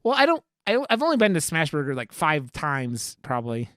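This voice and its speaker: Podcast host, medium pitch, casual banter, teasing, crude humour, dry close sound. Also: very animated, brisk, a bit bright, dry recording. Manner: teasing, profane joking.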